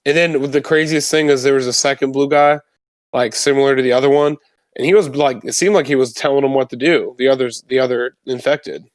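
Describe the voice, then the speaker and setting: Male tabletop player, average pitch, casual slangy storyteller, very dry recording. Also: fairly even intonation, a little fast, dry recording. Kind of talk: casual in-character tabletop roleplay chat.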